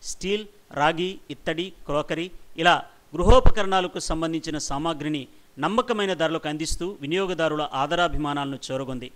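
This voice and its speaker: A man narrates a scripted news report in a somewhat high voice. He delivers it as a reporter's voice-over, sentence after prepared sentence. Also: normal speed, fairly bright tone.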